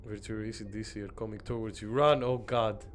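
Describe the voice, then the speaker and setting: A man's voice: fairly low pitch, steady tone, a little fast, background noise. A young man from Greece streaming a horror game, chatting casually with viewers and reacting as he plays. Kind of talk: casual gameplay commentary.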